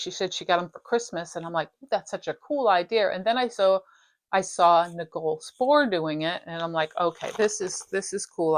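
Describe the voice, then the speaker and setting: A woman hosting a cross-stitch video from her craft room, chatting casually and rambling a little, her voice slightly raspy from a dry throat. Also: mid-range voice. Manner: chatty, rambling, self-interrupting. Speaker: flosstube host. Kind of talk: casual show-and-tell chat.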